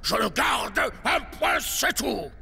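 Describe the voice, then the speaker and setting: A bossy cartoon pirate captain of outer space, barking theatrically in a high, gravelly voice. He swings wildly between notes, blustering like a tyrant in a tantrum. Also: big pitch swings, slow, pushed and bright, raised voice, long reverb tail, heavily acted. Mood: bossy, menacing. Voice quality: gravelly, creaky. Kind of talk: children's cartoon voice acting.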